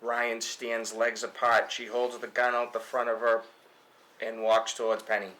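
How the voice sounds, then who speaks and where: A man's voice: medium pitch, monotone, a little slow, bright, forceful tone, low-quality recording. A man reading stage directions aloud as narration at a film table read.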